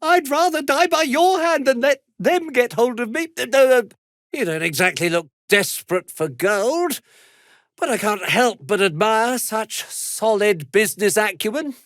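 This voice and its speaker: An actor playing a pompous, jittery, self-serving merchant in a video game, speaking with a British accent in a haughty, indignant manner that falters nervously. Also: male voice, extremely high pitch, fairly bright tone, dry recording, clean studio sound, exaggerated voice. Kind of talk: video game dramatic acting.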